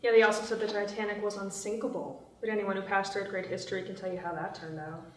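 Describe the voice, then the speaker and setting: A woman's voice: mid-range voice, steady tone, fast talker, natural voice. A woman playing a flippant, carefree young troublemaker with sarcastic, teasing delivery in a table read. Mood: wry. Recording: echoey room, far from mic.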